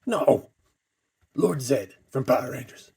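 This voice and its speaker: A man voicing a curious, teasing cyborg undead creature in an improvised toy skit, with halting restarts. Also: medium pitch, expressive, vocal fry, warm tone, breathy edge, exaggerated voice. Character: cyborg undead male mascot, curious and needling.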